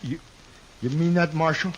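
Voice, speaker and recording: Man acting a high-pitched, frightened frontier fugitive. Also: natural voice. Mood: distraught, remorseful. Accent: American. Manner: halting, stammering, pleading. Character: frightened, meek, unstable fugitive cowboy, adult man. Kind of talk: old-time radio drama acting. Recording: dull, lightly noisy old radio recording.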